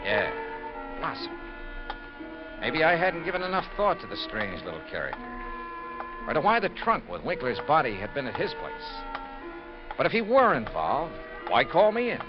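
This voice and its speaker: A shrewd American radio detective, brisk and dryly probing. His delivery is clipped and wry, with widely swinging intonation. It sounds like a dull vintage 1950s broadcast with music underneath. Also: on the high side, huge pitch swings. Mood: musing. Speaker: lead actor and narrator of a detective radio series. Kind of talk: 1950s radio detective acting with first-person narration.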